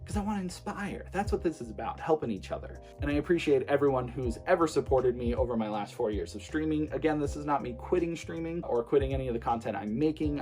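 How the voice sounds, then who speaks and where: Casual young man with faint music. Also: medium pitch. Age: 20s. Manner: relaxed, rambling, many fillers and restarts. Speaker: streamer and vlogger. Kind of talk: casual talk to camera.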